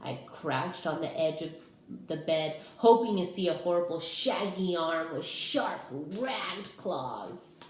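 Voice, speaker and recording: Slow, expressive woman reading a storybook. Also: on the low side. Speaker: teacher reading aloud. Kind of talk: expressive children's storybook narration. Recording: roomy, echoing sound.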